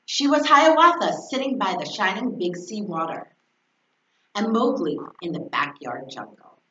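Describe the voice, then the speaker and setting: A woman's voice: mid-range voice, expressive, a little slow, a bit bright. Woman reading a storybook, distant and echoey. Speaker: fourth grade teacher. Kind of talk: children's storybook read-aloud. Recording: distant, echoey, dull, noisy recording.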